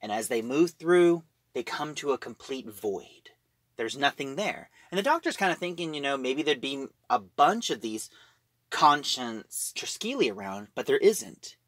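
A man giving a casual spoken review and plot retelling, in a halting manner, piecing phrases together as he goes. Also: mid-range voice, lively intonation, fairly bright tone, no echo. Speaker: audio drama reviewer.

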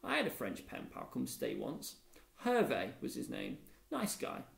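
A man's voice: mid-range voice, wildly swooping pitch, measured pace, vocal fry, exaggerated voice. A man voicing a friendly, greedy schoolboy in a storybook, chatty and matter-of-fact. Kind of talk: storybook character dialogue. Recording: echoey room.

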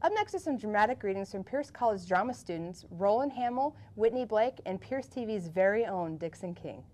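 A woman's voice: medium pitch, animated, brisk, darkish tone, slight background noise. A woman hosting a college TV show, introducing segments from a script.

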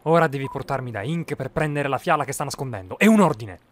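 A man voicing a proud, bitter young skeleton boy in an animated fan dub, confrontational and defensive. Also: mid-range voice, fast, natural voice. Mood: angry, defiant.

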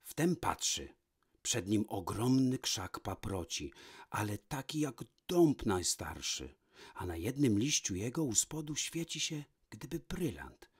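A man's voice: on the low side, big pitch swings, slow, breathy edge. A man reading a fairy tale as a storyteller, with dramatic, varied delivery. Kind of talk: expressive fairy-tale narration, read from a book.

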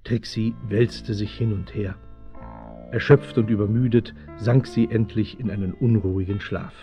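A man as the measured, suspenseful narrator of a German children's radio play, with a husky voice. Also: on the low side, steady tone, soft background music, close to an everyday voice. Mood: storytelling. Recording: muffled 1970s radio play recording.

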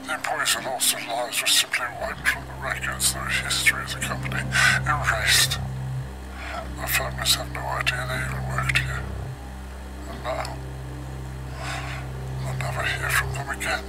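An actor playing a grim scientist survivor, narrating research logs for a game in a hushed, breathy, measured and dramatic way. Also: male voice, medium pitch, in a whisper, close to an everyday voice, rough recording. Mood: haunted, bitter. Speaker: narrator of in-game cassette recordings.

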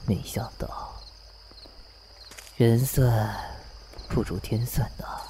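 A man playing a cunning, sardonic prince in a Chinese costume audio drama, speaking in a measured, mocking, theatrical way. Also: mid-range voice, steady tone, noisy background. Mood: scheming, wistful.

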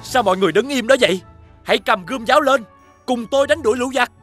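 A man's voice: very high voice, quick pace, a bit bright, soft background music. A brave young cartoon hero, resolute and earnest. He speaks like someone rallying villagers in a fairy tale. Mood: urging. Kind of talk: animated fairy-tale voice acting.

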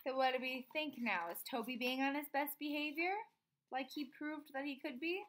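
A woman in her twenties reads a children's storybook aloud expressively, pausing for emphasis and chatting with young listeners. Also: high-pitched. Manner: animated, expressive, emphatic pauses on key words. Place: small room at home.

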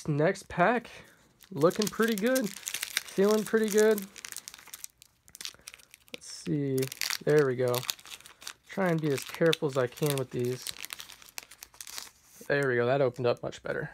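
A man casually narrating a card pack opening off camera, reading out card names, a little hoarse. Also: a little high. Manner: relaxed, reads card names off one by one. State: slightly hoarse, clearing throat. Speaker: card collector opening packs. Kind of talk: casual unboxing commentary. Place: indoors at a table.

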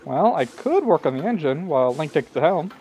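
A man's voice: fairly high pitch, big pitch swings, brisk, mellow, a little hiss or hum. A man voicing a cowardly, greedy, shifty sea captain who stammers evasively in a game parody. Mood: nervous, evasive. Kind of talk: fan-dub game parody acting.

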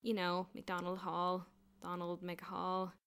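A woman's voice: on the low side, monotone, takes it slowly, fairly bright tone, clear, resonant tone. Woman as an emphatic, brash scheming schoolboy. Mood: earnest, pleading. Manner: emphatic, eager, insistent.

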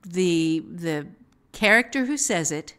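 A woman with a slightly low voice talks as a writing teacher on a podcast. She explains in a casual way, going step by step and leaning on examples. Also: expressive, takes it slowly, fairly bright tone, clear, resonant tone.

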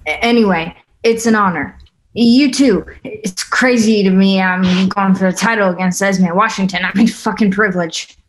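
A woman's voice: mid-range voice, moderate pace, lightly acted, rough recording. A woman playing a young, awestruck female fighter in a script table read, casual and a little hesitant. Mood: awkward, admiring. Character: young wiry female fighter, awestruck yet sizing up rivals.

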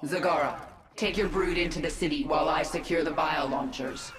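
A woman's voice: sits low, steady tone, a little fast, natural voice. A woman playing a vengeful alien queen who commands a swarm in a video game, grim, terse and authoritative. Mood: commanding. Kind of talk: video game character acting. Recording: echoey, over loud game music.

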